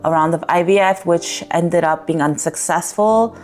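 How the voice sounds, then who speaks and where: A young woman giving a candid personal vlog update, rambling a little with fillers, her voice slightly raspy. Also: fairly low pitch, moderate pace, darkish tone. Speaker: vlogger.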